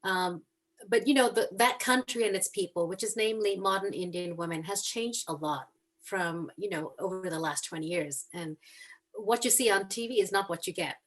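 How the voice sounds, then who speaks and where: Woman guest author from Hong Kong, earnest and animated, mid-pitched, video call audio. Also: moderate pace. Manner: earnest, animated storytelling with frequent ums. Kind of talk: casual chat on a video call.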